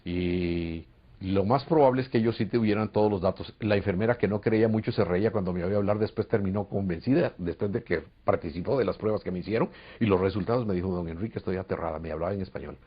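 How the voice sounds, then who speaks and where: A man's voice: sits low, fast, breathy edge. A man from Costa Rica with a Costa Rican Spanish accent, earnestly telling a long story in a recorded interview, with hesitations. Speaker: interviewee, UFO contactee. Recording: dull lo-fi recording.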